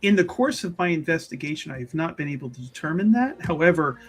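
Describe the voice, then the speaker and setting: A man's voice: a little high, sing-song, fast talker, faint music behind. A man voicing a polite, fussy, well-traveled Chantry woman investigator in a tabletop game, speaking formally and hesitantly with repeated words. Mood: earnest, obliging. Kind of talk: tabletop roleplay dialogue.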